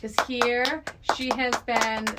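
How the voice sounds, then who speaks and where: A woman's voice: a little high, little pitch movement, slow, heavy background noise. A middle-aged woman co-hosting a podcast in a casual, chatty style. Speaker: podcast co-host. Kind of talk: casual chat.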